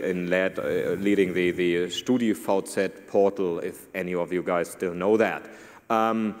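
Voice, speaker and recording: A man speaking informally and anecdotally as a panelist at a conference, in loose phrasing. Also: lowish voice. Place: large conference hall stage, indoors. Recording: reverberant hall.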